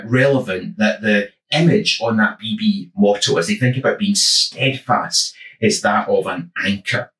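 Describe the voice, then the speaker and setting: A man from the west of Scotland with a West of Scotland accent, giving a gentle, reflective devotional talk with many small ums and uhs. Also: medium pitch, reverberant, far from the mic, rough recording. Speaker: church minister.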